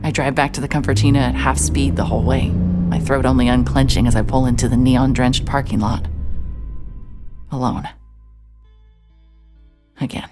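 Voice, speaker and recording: An actress playing a hard-hearted private investigator who stammers and restarts when anxious, also narrating in first person. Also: female voice, rumbling low, fairly even intonation, moderate pace, pauses often, music in the background. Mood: reflective. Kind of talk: audio drama acting and first-person narration.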